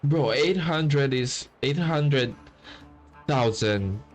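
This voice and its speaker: A man reacting casually to a video game, swearing bluntly in drawn-out exasperation. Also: medium pitch, faint music behind. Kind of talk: casual, profane gameplay reaction.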